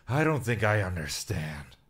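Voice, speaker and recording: Man acting a very low, brooding cynic. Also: big pitch swings, unhurried, a bit bright, exaggerated voice. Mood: probing. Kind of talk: audio drama acting.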